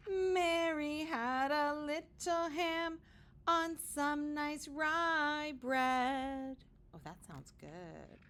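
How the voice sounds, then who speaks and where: A woman reading a picture book aloud to young children like a teacher, expressive, with dramatic pauses and wondering asides. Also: sits high.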